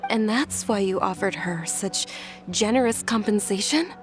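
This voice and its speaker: A woman playing a gentle, sheltered young noblewoman. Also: mid-range voice, animated, normal speed, over music, close to an everyday voice. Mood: surprised. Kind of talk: video game voice acting.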